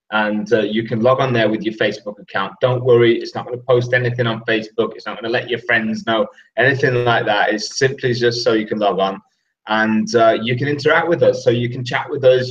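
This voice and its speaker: A man co-hosts a live web presentation from far away, chatting to viewers in a loose, friendly way with lots of fillers. His voice sounds distant from the mic and dull, as if it is coming through a remote call. His pitch is ordinary. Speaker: co-host, business partner. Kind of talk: casual live-stream presentation. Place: Saigon, Vietnam, at night.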